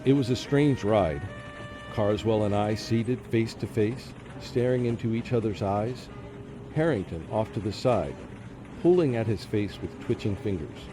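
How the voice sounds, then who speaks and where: An actor playing a tormented, fearful professor who narrates his own story in a radio play, with an anguished, halting delivery. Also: lowish voice, animated. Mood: tense suspense. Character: tormented, fearful male professor under a deadly curse. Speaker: narrating lead in a radio drama. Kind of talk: dramatic first-person radio play narration. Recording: prominent music under the voice.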